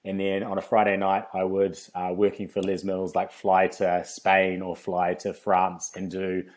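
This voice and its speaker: A man's voice: low-pitched, monotone, unhurried. A man from New Zealand with a New Zealand accent, a guest on a podcast, telling his career story in a chatty way, with frequent ums and easy laughs. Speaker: podcast guest, fitness company founder. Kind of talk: relaxed podcast interview.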